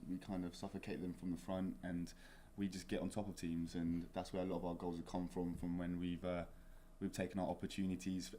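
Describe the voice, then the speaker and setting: A young man from England with a southern English accent, a student soccer captain giving halting, filler-laden interview answers in a college studio. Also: low voice, dark tone, slight background noise. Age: young adult.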